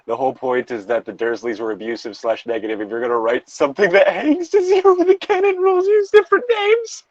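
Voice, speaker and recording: A man with a very animated, swooping delivery comments casually and with open disbelief. He hesitates as he forms his opinions. The recording is dry and close but a little dull and lo-fi. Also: mid-range voice, fast, no echo. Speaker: co-host reacting to fan fiction and its reviews. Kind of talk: casual commentary with reading aloud.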